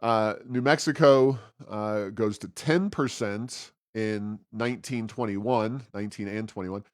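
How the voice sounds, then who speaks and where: A man co-hosting a talk show, giving conversational political commentary with wry, sarcastic asides and a halting, self-correcting delivery. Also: lowish voice, no room sound, clean studio sound. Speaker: co-host of a policy podcast.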